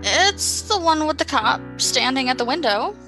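A woman's voice: high-pitched, animated, normal speed, over music. An actress playing a brash, defiant, foul-mouthed woman who likes a drink, in an audio drama. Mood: tipsy, worried. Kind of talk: modern audio drama acting.

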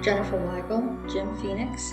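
A woman's voice: mid-range voice, normal speed. Female credits announcer, scripted read, mid-range voice, roomy echo, prominent music bed.

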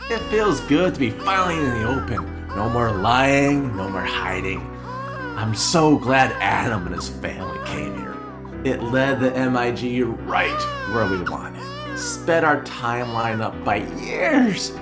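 A man's voice: mid-range voice, huge pitch swings, a little slow. A man playing a gloating, bitter traitor in a radio drama, sneering and taunting. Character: gloating male traitor villain, bitter and hateful. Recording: loud music under the voice.

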